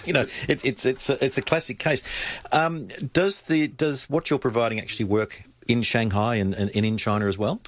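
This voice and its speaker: A man's voice: mid-range voice, fairly even intonation, normal speed. An Australian man from Melbourne interviewing in an informal, conversational way, feeling his way into each question.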